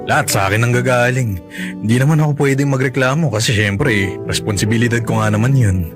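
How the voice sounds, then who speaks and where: A man's voice: medium pitch, brisk, music in the background, natural voice. An actor with a Filipino accent playing a cash-strapped, apologetic and devoted young father in a radio drama, with a self-effacing manner. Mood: resigned, tender.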